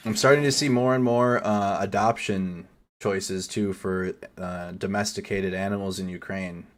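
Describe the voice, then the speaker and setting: A man's voice: low-pitched, fairly even intonation, unhurried, a little hiss or hum. A man giving casual live commentary over war footage as a news streamer, swearing and stumbling over foreign names.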